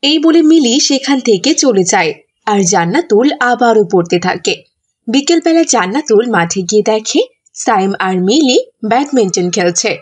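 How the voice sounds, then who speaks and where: Lady narrating kids cartoon story, kinda high but husky voice. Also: lively intonation, moderate pace.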